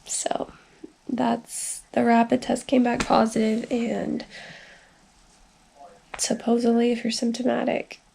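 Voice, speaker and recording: Congested woman, slow and gravelly. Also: on the high side, completely flat delivery, dark tone, a little hiss or hum, rough recording. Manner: rambling, lots of filler words. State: congested, sick. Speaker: vlogger. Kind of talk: casual personal video diary.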